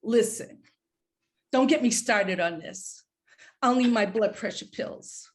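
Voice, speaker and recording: A woman's voice: fairly high pitch, slow pace. A woman playing a retired professor mother of sixty-five in a screenplay read, conversational, fond and reproachful with pointed questions. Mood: playful. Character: retired professor mother, sixty-five, guilt-tripping, warm but wounded. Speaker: actor in a script read. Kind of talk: live screenplay table read.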